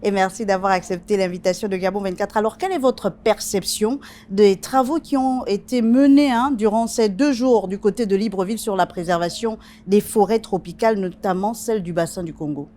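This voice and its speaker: A woman's voice: medium pitch, fairly quick, warm tone. A woman hosting a television interview, asking questions and prompting her guest with short acknowledgements. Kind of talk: broadcast interview.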